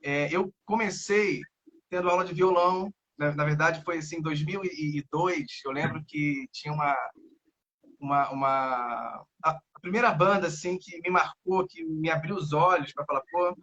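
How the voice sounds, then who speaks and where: A man's voice: a little high, moderate pace, fairly bright tone. A man in his thirties from Rio de Janeiro with a Carioca accent, a guest guitarist chatting in a casual interview and rambling with many fillers and restarts. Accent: Carioca Brazilian Portuguese. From Rio de Janeiro, Brazil. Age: 30s. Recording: dull lo-fi audio.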